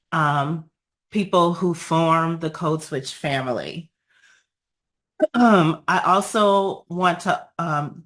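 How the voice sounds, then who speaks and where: Raspy-voiced woman giving an earnest talk. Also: lowish voice. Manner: measured, earnest, frequent ums and pauses. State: raspy voice after illness. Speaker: guest speaker, nonprofit founder. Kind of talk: presentation over video call with slides.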